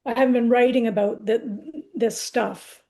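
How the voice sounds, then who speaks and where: A woman's voice: fairly high pitch, a little slow, a little mellow. A woman in her sixties, a poet answering interview questions in a candid, reflective, conversational way. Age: 60s.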